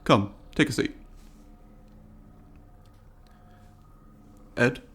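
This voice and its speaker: A man's voice: moderate pace, noisy background, natural voice. A man voicing a polite, eager alien student in an ASMR roleplay, speaking formally and precisely to the listener in an inquisitive, apologetic way. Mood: friendly, brisk.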